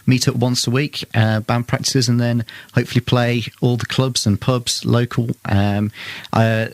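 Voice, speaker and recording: Male, mid-pitched voice, informal radio interview guest, close dry studio mic. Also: level tone, measured pace, dry recording. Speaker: interview guest, amateur band keyboardist. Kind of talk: casual radio interview chat. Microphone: close studio microphone.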